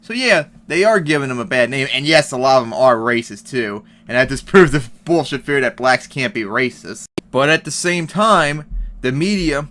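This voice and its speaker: A man's voice: medium pitch, expressive, normal speed, pushed and bright. A man giving heated, profane commentary on an article and news clips, reading passages aloud and reacting. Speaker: commentary vlogger.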